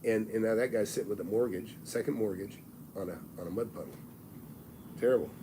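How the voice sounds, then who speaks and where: Older male host in his sixties, low-pitched and plainspoken, pitching mentorship live over audible background noise. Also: brisk. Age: 60s. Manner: blunt, folksy and salesy, with frequent restarts. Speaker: real estate mentor and channel host. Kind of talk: live-streamed advice talk.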